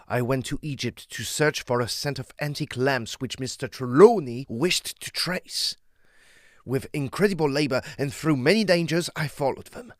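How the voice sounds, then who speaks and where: An actor playing an agitated, proud gentleman explorer of old, speaking emphatically in formal, old-fashioned phrases in a gothic audio drama. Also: mid-range voice, normal speed, no echo, clean studio sound, close to an everyday voice. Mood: anguished recounting.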